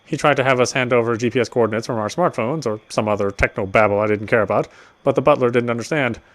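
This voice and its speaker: A man's voice: mid-range voice, fast. A man reading a game's narration aloud with casual commentary, now and then tripping over words. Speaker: let's player.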